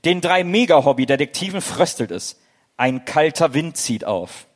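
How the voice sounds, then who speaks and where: A man's voice: a little high, expressive, moderate pace. A man narrating a comedic audio play in a theatrical storytelling style.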